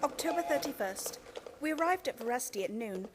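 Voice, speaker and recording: An actress playing an earnest heroine in a vampire radio play, speaking dramatically. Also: female voice, high-pitched, animated, fairly quick, noisy background. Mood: tense, resolute.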